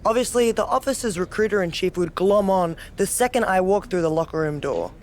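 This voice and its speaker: A woman's voice: mid-range voice, animated, a little fast, darkish tone, slight background noise, close to an everyday voice. A woman playing a cocky, dominant, sardonic wrestler who relishes chaos in a video game cutscene. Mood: mocking. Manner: sardonic, self-assured. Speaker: pro wrestler character.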